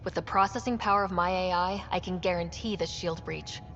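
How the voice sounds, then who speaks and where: An actress playing an earnest young human space explorer in a video game, plain-spoken and diplomatic. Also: female voice, lowish voice, steady tone, a little fast, fairly bright tone, a little hiss or hum. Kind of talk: cinematic video game acting. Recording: dull game audio with faint music.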